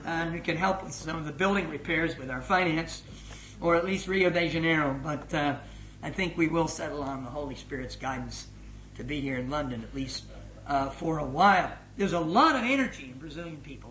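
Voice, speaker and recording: Middle-aged man, missions leader, storytelling report, energetic and emphatic, loud-to-hushed swings, echoey room, faint noise. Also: fairly high pitch, fairly quick. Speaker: missions leader addressing his organisation. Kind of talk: spoken report to a gathering, storytelling.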